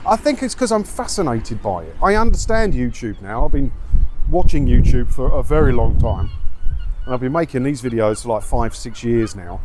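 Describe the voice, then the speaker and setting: A middle-aged man talks in a blunt, informal way, rambling casually to camera. His voice sits at an average pitch. It is recorded outdoors, with faint street and traffic noise behind him. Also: expressive, normal speed, darkish tone, poor audio. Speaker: video creator and host. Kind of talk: casual walking vlog, rambling chat to camera. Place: outdoors, walking around a seaside town.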